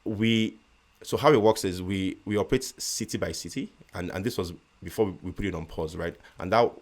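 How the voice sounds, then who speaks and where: A Nigerian-accented man with a strikingly deep, low-pitched voice. He talks through his work as an interview guest in a measured, thoughtful way. He stumbles and restarts his sentences now and then. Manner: measured, with frequent restarts and stutters. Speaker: guest, real estate investor. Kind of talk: casual interview conversation.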